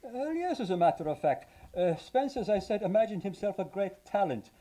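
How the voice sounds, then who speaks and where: A man's voice: high voice, animated, brisk, warm tone. An actor playing an earnest, long-winded asylum psychiatrist in 1940s radio detective style, hesitant and learned in his phrasing. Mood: earnest, explanatory. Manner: hesitant, professorial, wordy. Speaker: actor in live radio play.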